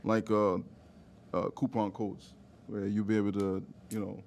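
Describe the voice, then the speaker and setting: A man's voice: lowish voice, flat delivery, unhurried, a little mellow, a little hiss or hum. A man in his thirties hosting a podcast in a casual, slangy way, often checking in with "you know" phrases. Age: 30s. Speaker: podcast host.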